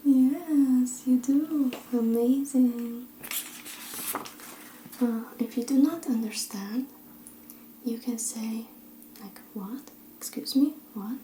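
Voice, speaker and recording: Woman whispering a slow gentle phrase lesson, dark mellow tone, roomy echo, faint hum behind. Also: a little high. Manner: soft, encouraging, often whispering. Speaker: language teacher. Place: indoors at a table.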